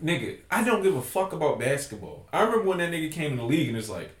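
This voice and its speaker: A man hosting a casual podcast, talking in a loud, profane, joking way. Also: on the high side, big pitch swings, rapid-fire, reverberant. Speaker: podcast host.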